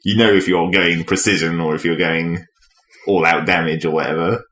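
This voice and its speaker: A man's voice: low voice, fairly even intonation, moderate pace, a bit bright. A man co-hosting a podcast, casually talking through video games in an analytical, explanatory way. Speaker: podcast co-host. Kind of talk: casual gaming discussion. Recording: echoey room, faint background noise.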